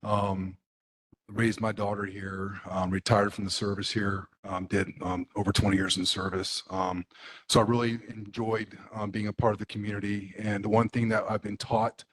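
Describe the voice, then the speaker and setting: A middle-aged man from the US mainland, a neighborhood board member, speaking at a public meeting in a halting way with many fillers and restarts. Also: on the low side, level tone, fairly bright tone, slightly rough, low-quality recording.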